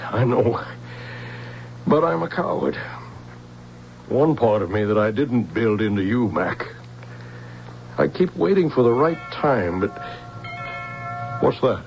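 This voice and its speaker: Slow, creaky fatherly scientist. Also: medium pitch, sing-song, heavily acted. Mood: rueful, tender. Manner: solemn, halting. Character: weary, dying scientist, fatherly creator of robots, solemn. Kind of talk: old-time radio drama acting. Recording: dull, noisy old radio recording.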